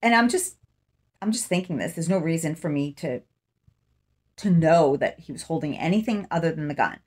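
A middle-aged woman with a slightly low voice talks casually to camera. She sounds like a true-crime vlogger reasoning out loud, conversational and unscripted, speaking into a phone indoors. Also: expressive, normal speed. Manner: conversational, thinking aloud. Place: small room indoors, seated in an armchair.

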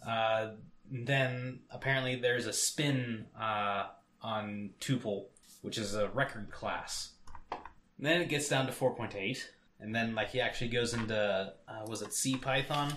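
A man's voice: mid-range voice, steady tone, a little slow, a bit bright, reverberant, a little hiss or hum. A man co-hosting a casual tech podcast, rambling with many fillers. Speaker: podcast co-host.

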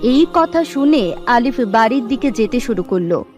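A woman's voice: on the high side, animated, unhurried, a little mellow, soft background music. A woman narrating a scripted cartoon moral story.

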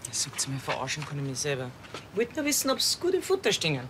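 An actress playing a sharp-tongued, grumbling bakery café owner with a Bavarian accent and a sarcastic, colloquial manner. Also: female voice, low voice, huge pitch swings, quick pace, noisy background.

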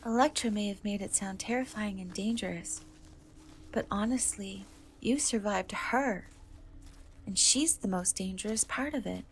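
A woman voicing a kind, timid half-cat young woman in a soft, hesitant fantasy ASMR roleplay. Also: mid-range voice, measured pace, close to an everyday voice. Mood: wistful, hesitant. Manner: hesitant, soft-spoken, often slipping into whisper. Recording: noticeable background noise and ambience.

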